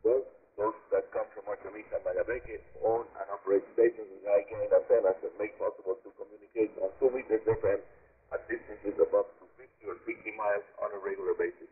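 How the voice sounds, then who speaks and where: A man with a Cuban accent and native Spanish, hosting a scripted radio program in a deliberate, formal announcer style with emphatic phrasing, heard over shortwave. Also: on the low side, fairly even intonation, husky. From Cuba. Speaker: radio host. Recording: narrow, muffled shortwave radio reception. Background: shortwave broadcast received on a radio.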